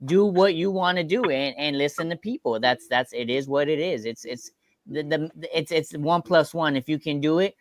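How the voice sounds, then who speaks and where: Slangy, higher-pitched man, close and dry. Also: moderate pace, no room sound. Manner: repeats phrases for emphasis, slangy. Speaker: company team member on a panel. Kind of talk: casual chat.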